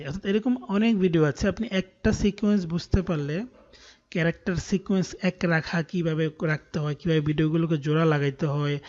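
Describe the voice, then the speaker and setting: A muffled, lo-fi recording of a Bangladeshi man teaching online. His voice is high and he talks fast, explaining each step of a tutorial and checking that listeners follow. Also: darkish tone. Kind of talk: screen-recorded tutorial walkthrough.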